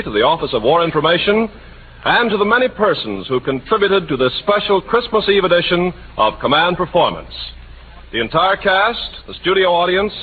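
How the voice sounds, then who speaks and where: A high-pitched American man makes formal radio announcements with lively, swooping intonation. His delivery is declamatory, in 1940s style, on a dull old recording with faint noise.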